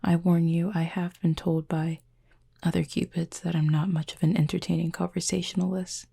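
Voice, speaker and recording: A woman playing an injured fallen cupid in an intimate roleplay audio, soft and breathy, with a formal, measured, dryly aloof but curious manner. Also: fairly low pitch, flat delivery. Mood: wry, reserved. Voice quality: soft, breathy, husky with fry. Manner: measured, formal, wordy phrasing.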